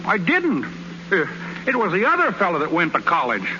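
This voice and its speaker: An actor playing a boastful young baseball pitcher who stammers out excuses in a folksy, ungrammatical drawl, in a 1930s radio drama. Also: male voice, huge pitch swings, moderate pace. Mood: flustered. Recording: dull, hissy 1930s radio broadcast.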